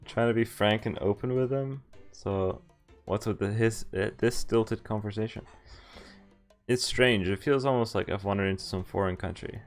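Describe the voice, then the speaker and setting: A man's voice: fairly low pitch, normal speed. A man streaming a visual novel, reading its dialogue aloud and commenting casually. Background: game music.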